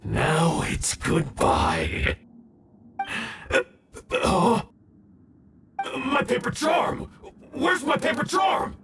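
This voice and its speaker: An actor playing a scheming, theatrical gang villain in a video game, gloating and gravelly, with roomy distant-sounding audio. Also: male voice, very high voice, huge pitch swings, drawn-out words, long pauses, noisy background, full character voice. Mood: panicked, angry.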